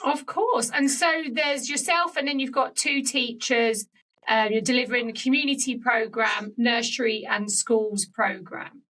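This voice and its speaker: A woman's voice: a little high, measured pace, a bit bright, far from the mic, poor audio. A woman hosting a podcast interview conversationally, recorded slightly away from the microphone.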